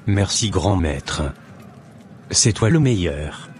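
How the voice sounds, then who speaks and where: A man voicing a deceitful, persuasive false pastor who serves a dark master in a cartoon. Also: sits low, expressive, slow pace, a little hiss or hum. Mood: eager, servile. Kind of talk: dramatic animated dialogue.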